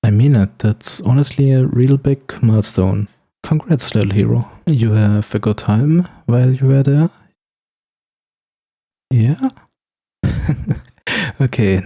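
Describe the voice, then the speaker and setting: A man's voice: fairly low pitch, takes it slowly, gravelly, long pauses, warm tone, exaggerated voice. A man playing a teasing, snarky supervillain with firm standards in an ASMR roleplay, speaking straight to the listener with sarcastic, playful banter. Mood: warm, curious.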